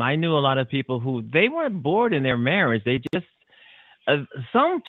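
A man's voice: medium pitch, no echo. A man hosting a talk show, friendly and chatty, laughing easily and repeating his words. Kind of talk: casual interview hosting. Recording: dry, phone-like narrow sound.

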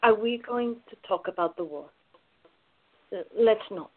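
This is an actress playing a protective, wry woman in a radio play. Her voice is husky and mid-pitched, with a dry, grumbling wit. It comes through thin, like a phone line. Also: unhurried, lightly acted. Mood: wry, reluctant. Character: Ava, tall wry protective woman in love. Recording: narrow phone-like sound.

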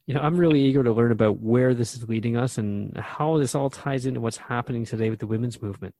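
A man's voice: medium pitch, fast, mellow, no echo. A man acting as a radio host in a conversational drama, talking in an inquisitive, reflective way.

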